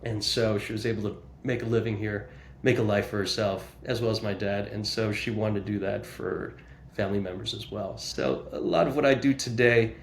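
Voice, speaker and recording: A man's voice: lowish voice, steady tone, normal speed, roomy sound, a little hiss or hum. An American man, a guest on a podcast, introducing himself and his work conversationally. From United States, New Jersey. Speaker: podcast guest, real estate broker.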